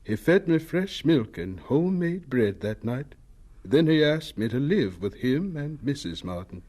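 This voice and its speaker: A man playing a gentle, humble older professor reminiscing in a radio drama, husky, reflective and plainspoken. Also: medium pitch, big pitch swings, measured pace.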